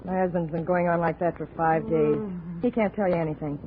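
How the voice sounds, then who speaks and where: A woman's voice: fairly low pitch, fairly even intonation, fairly quick, lightly acted. An actress playing an intense, lovelorn woman in an old-time radio drama, insistent, dramatic and defiant. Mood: bitter, certain. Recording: muffled, faintly noisy vintage radio recording.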